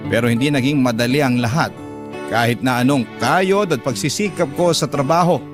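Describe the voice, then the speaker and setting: A man playing an earnest young father who narrates his own story in a Filipino radio drama, reflective and measured. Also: on the high side, lightly acted.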